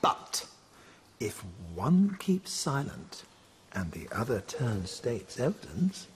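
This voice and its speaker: An actor playing a wry, eccentric philosophy teacher in a radio drama, with a theatrical, lecturing manner. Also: male voice, medium pitch, sing-song, slow pace, background noise.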